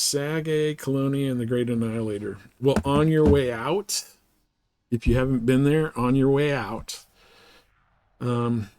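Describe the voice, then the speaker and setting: A man's voice: mid-range voice, a little mellow, dry recording. A man hosting a live game stream, chatting casually with viewers and explaining things in colloquial language. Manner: colloquial, explanatory, giving advice. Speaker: livestream host.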